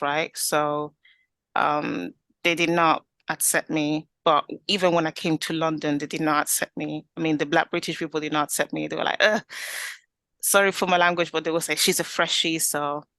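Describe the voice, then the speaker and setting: A woman's voice: low voice, a bit bright, dry recording. A young woman with an Italian accent, telling her story as an interview guest, earnest and full of restarts. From Italy. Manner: earnest, rambling with many restarts and fillers.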